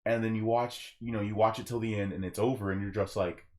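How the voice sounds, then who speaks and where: A man's voice: lowish voice, fairly even intonation, quick pace, a little mellow. Young man hosting a casual podcast. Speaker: podcast host. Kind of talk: casual opinionated gaming podcast discussion.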